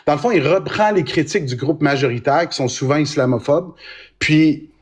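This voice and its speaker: A man's voice: a little high, moderate pace, breathy edge. Québécois man explaining, hesitant. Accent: Québécois French. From Quebec. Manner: explanatory, hesitant with frequent restarts. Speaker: lawyer in a live debate. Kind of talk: casual live-stream debate.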